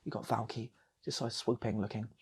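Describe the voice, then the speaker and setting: A man's voice: on the low side, flat delivery, fast talker, husky. A man improvising a comic story as a host, rambling, repeating phrases and slipping into acting out characters. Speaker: storytelling host of an online channel.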